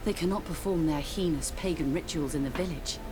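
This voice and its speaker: An actress playing a devout, formal sister of a religious order in a video game, speaking earnestly. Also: female voice, sits low, brisk, close to an everyday voice, low-quality recording. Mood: appalled, resolved. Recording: game music behind voice.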